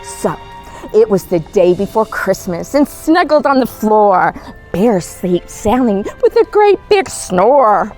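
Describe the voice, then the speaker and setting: A woman telling stories to young children in an expressive, coaxing, exclaiming read-aloud manner. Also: mid-range voice, slow pace, faint music behind, rough recording. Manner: animated, exclaiming, coaxing, dramatic gasps. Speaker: costumed storyteller as Mrs. Claus.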